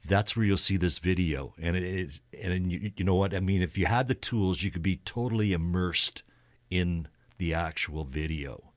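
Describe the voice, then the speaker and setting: A man's voice: sits low, moderate pace, no room sound. A man co-hosting a radio show, chatting casually and explaining things in an informal, hesitant way. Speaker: radio co-host. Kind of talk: casual music chat.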